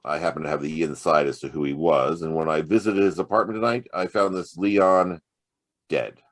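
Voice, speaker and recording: A man's voice: rumbling low, steady tone, moderate pace. An American man playing a wry, sardonic hard-boiled private detective in a noir audio drama. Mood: sardonic, cool. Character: hard-boiled, wisecracking male private detective, narrating his case.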